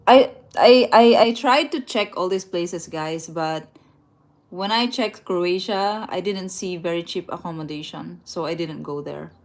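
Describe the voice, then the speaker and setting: A woman talks casually and laughs often, stumbling and restarting her sentences as she goes. Her voice sits a bit on the low side. She sounds like she is chatting live from a rented room at night. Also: a little slow. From Philippines. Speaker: travel livestream host. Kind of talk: casual live chat, reading viewer comments aloud.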